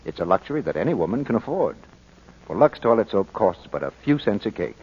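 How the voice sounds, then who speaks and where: A male 1940s radio announcer with a low, husky voice. He pitches the copy with wide, lively intonation. The vintage broadcast recording is muffled. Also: a little fast.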